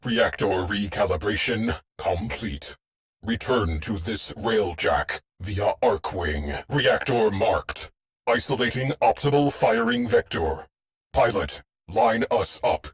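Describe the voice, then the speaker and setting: A low, husky male ship AI from a sci-fi game. He speaks in clipped, deadpan commands with a slow, measured delivery. The temper is stoic and coldly commanding, like a tactical officer issuing orders. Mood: brisk command. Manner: clipped, deadpan, short imperative phrases. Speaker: tactical mission operator. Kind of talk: scripted video game voice lines.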